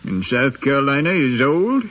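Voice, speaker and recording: A man's voice: mid-range voice, very animated, normal speed, fairly bright tone. An actor playing a stern, settled older planter father in a 1950s radio drama, admonishing and emphatic. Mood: stubborn refusal. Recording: dull 1950s radio recording.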